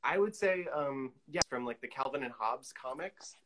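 A man's voice: mid-range voice, expressive, normal speed, background noise. A middle-aged man chatting casually as a guest on a video call. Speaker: guest artist. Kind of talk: casual chat. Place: indoor room at home, on a video call.

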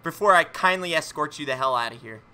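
A young man streams a game in a high, bright voice. He has a lisp and talks in a lively, joking, lightly profane way, chatting with his viewers. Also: fast, clean tone. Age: young adult. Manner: animated, joking, mildly profane. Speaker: video game live streamer. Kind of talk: casual livestream chat with viewers. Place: indoor room at home.

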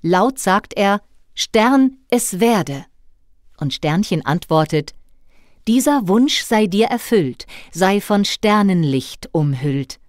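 A woman narrating a scripted children's audio play in a dramatic, expressive storybook manner. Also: medium pitch, takes it slowly, no room sound, studio quality.

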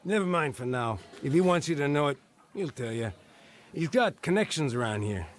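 An actor playing a tough, chummy barroom bouncer with slangy talk in a radio drama. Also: male voice, fairly high pitch, lively intonation, normal speed, lightly acted. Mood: breezy, chummy.

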